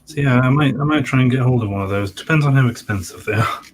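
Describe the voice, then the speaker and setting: A man's voice: mid-range voice, fast talker, slight background noise. A man on an online hobby panel with a dry, self-mocking sense of humor. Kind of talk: casual chat on a live stream.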